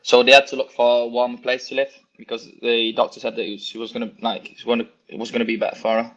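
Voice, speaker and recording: Spanish-raised man, Valencian first language, flat delivery, muffled lo-fi call sound. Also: mid-range voice, fast. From Spain. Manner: hesitant, searches for English words. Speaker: guest. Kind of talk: casual interview over a call. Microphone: phone on a video call. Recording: dull lo-fi call audio.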